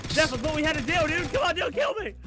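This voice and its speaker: A high male voice with lively swings, teasing and mock-pleading through an improvised game roleplay. Music and game gunfire are clearly audible behind him. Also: quick pace. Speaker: co-player in a gaming roleplay.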